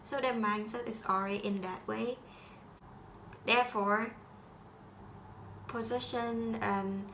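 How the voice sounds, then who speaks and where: A teenage girl from Vietnam with a Vietnamese accent, speaking English as a second language in a halting school assignment talk full of restarts. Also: mid-range voice, fairly bright tone, a little hiss or hum, low-quality recording. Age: teens. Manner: halting, with frequent restarts and grammar slips. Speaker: high school student.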